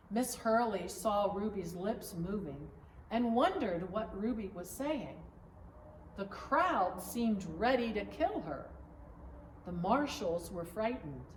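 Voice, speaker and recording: A woman reading a children's story aloud in a measured, expressive storytelling manner, recorded at a distance in an echoing room. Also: medium pitch. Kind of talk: children's picture-book read-aloud. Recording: roomy echo, distant mic, background noise.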